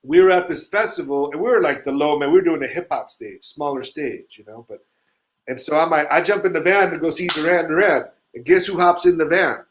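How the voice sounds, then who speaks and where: A man's voice: on the high side, a little fast. Profane, rambling male storyteller. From Chile. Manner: rambling, swearing, animated storyteller. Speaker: musician telling stories on a show. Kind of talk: casual storytelling chat.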